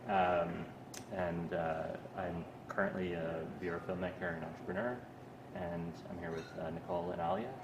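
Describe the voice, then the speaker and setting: A man interviewing people in an art gallery, relaxed and hesitant with many fillers. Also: low-pitched, moderate pace, muffled recording. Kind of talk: casual interview talk. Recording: echoey gallery with background noise.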